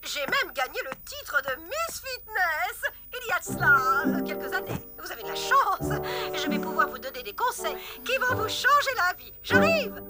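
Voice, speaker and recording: Very high, pompous cartoon neighbour lady. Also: big pitch swings, moderate pace. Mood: boastful. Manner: pompous, gushing. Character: boastful, overbearing, chatty female elephant neighbour. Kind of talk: children's cartoon dubbing. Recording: loud music behind the voice.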